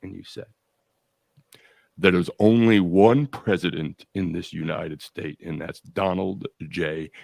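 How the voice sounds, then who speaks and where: A man's voice: low voice, steady tone, slow pace, creaky, a little mellow, dry recording. A man hosting an interview podcast, talking enthusiastically and rambling with many restarts. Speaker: podcast host. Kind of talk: interview host, casual chat.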